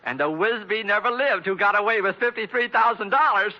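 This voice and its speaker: A man's voice: high-pitched, fairly quick, natural voice. A man playing a pompous, earnest fellow obsessed with family honor, declaiming formally in 1940s radio drama style. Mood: earnest, stiff. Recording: muffled old radio recording.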